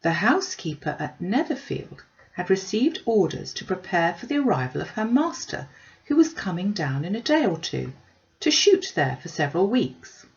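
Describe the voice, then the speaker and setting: A woman's voice: medium pitch, big pitch swings, moderate pace, muffled recording. A woman reading a classic novel aloud as scripted audiobook narration. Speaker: audiobook reader.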